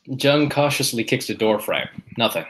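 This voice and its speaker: Guy reading stage directions, plain even tone. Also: mid-range voice, moderate pace, slight background noise, rough recording. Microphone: video call.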